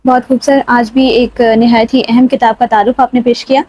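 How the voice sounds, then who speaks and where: Courteous, high-voiced woman host. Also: little pitch movement, darkish tone, poor audio. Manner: courteous, appreciative. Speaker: show host. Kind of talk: formal literary programme hosting.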